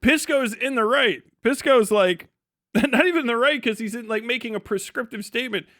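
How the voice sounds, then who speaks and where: A man's voice: very high voice, normal speed, fairly bright tone, no room sound, clean studio sound. A man giving casual livestream commentary in a profane, blunt, sardonic way. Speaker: livestream host.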